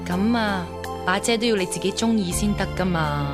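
Casting: woman as well-bred young daughter, forthright and probing, mid-range voice over a clear music bed. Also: mid-range voice, moderate pace, natural voice. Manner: direct, questioning. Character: forthright, curious young lady, merchant's daughter. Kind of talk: broadcast drama acting.

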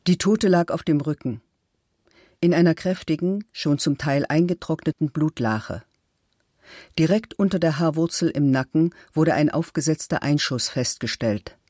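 A man's voice: a little high, normal speed, darkish tone, dry recording, clean studio sound, lightly acted. A man playing an official who reads out crime-scene evidence soberly and exactly in a scripted documentary. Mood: sober, impersonal. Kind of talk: scripted documentary reading of a police report.

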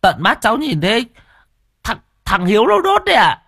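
A man's voice: huge pitch swings, normal speed, gravelly, pushed and bright, husky, no echo, clean studio sound, heavily acted. A man voicing a nervous young village boy who stammers as he tells on other kids. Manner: stammering, high and pleading.

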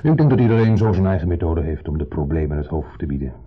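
Wistful, needling ex-husband, wry and probing. Deep voice with wide melodic swings, vintage radio play. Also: male voice, expressive, quick pace, husky, full character voice. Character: wistful ex-husband, probing, provocative unwelcome guest. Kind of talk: 1970s Dutch radio drama acting. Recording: muffled 1970s radio recording.

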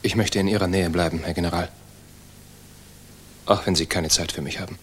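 An actor playing an idealistic, disillusioned young German officer in a 1960s radio play, earnest, halting and intense. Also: male voice, low voice, steady tone, fairly bright tone, slight background noise. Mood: hushed, sincere.

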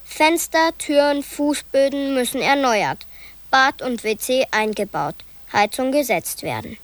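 A woman reading documents and street names aloud in a measured, deliberate way. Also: high voice, expressive, dry recording. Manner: slow, deliberate listing. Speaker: narrator.

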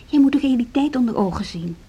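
An actress playing a determined younger deputy headmistress in a radio drama, insistent and argumentative. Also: female voice, a little high, lively intonation, fast talker, mellow, breathy edge. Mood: firm, insistent. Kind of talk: Dutch social radio drama acting.

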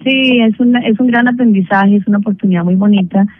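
A middle-aged woman from Bogotá with a Colombian accent, a singer speaking as an interview guest, measured and grateful with frequent pauses. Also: medium pitch, no echo. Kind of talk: phone interview. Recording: tinny phone line with faint music.

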